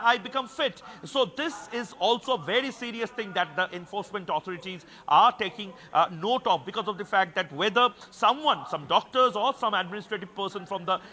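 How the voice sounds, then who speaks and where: High, forceful male reporter. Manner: halting, repetitive, emphatic. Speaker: senior editor reporting live from newsroom. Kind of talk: live explanatory news reporting. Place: regional television newsroom.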